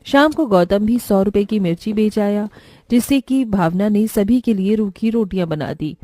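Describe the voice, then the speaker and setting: A woman's voice: medium pitch, normal speed, mellow, no room sound. A woman narrating a scripted children's story.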